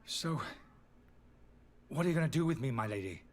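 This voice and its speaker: An actor playing a disgraced, indignant alchemist in a fantasy video game, pleading and justifying himself theatrically in a slightly husky voice. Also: male voice, medium pitch, animated, moderate pace, lightly acted. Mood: pleading, dismayed. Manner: agitated, defensive, theatrical delivery.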